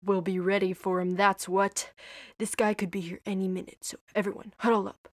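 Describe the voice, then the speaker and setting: A woman voicing a gruff, tough young man who bosses his team around in a curt, commanding way. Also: mid-range voice, little pitch movement, a little fast, dry recording, clean studio sound, pitched below the performer's own voice, natural voice.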